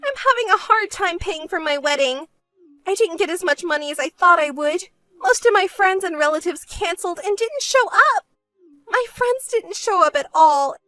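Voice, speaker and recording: A woman's voice: very high voice, lively intonation, moderate pace, bright, forceful tone, dry recording, faint music behind, voice pushed up, exaggerated voice. A woman voicing a spoiled, selfish younger sister in a dramatized story, whiny, melodramatic and pleading. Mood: whining complaint.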